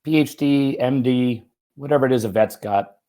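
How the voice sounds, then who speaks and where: A slightly hoarse man reading a first-person short story aloud in an expressive, conversational, folksy way. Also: mid-range voice, normal speed. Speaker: writer and teacher reading own short story.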